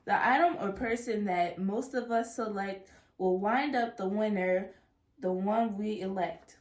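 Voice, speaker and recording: A young American woman reading a children's book aloud in a careful, measured way, in an echoey room. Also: mid-range voice. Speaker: student intern and co-reader. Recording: echoey, roomy sound.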